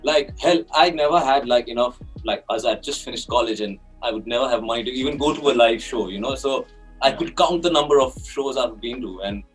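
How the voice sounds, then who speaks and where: A man's voice: medium pitch, a little fast, soft background music. A man in his twenties from Kalimpong, a band musician, talking animatedly and saying "like" constantly. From Kalimpong, India. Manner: animated, heavy use of "like". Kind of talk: casual conversation. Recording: voice picked up from a distance.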